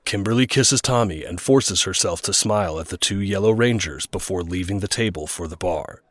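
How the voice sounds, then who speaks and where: A man's voice: low-pitched, fairly quick, fairly bright tone, dry recording, clean studio sound. A man reading scripted narration for an audio drama. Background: music.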